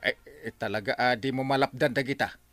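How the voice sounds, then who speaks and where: A man's voice: medium pitch, quick pace, a bit bright, natural voice. A man playing an easygoing village man, reasoning hesitantly in a comedy drama. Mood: resigned, reasoning.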